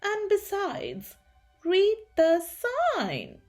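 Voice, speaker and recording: A woman voicing a polite, gently firm female octopus who works as a dentist's apprentice in a storybook. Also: very high-pitched, huge pitch swings, very slow, soft background music, voice pushed up, full character voice. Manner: earnest, murmuring.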